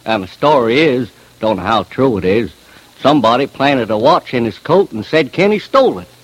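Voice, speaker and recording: A man's voice: mid-range voice, animated, moderate pace, breathy edge, poor audio. A man with a Southern US accent giving a folksy eyewitness account on old radio. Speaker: local eyewitness.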